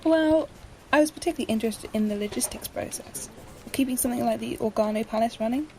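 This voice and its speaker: Actress as brainy young woman, polite, precise, eager, fairly high voice, clearly noisy recording. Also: quick pace, warm tone, lightly acted. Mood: polite, curious. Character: bright, polite, curious young female systems geek. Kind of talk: audio drama acting. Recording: noisy background.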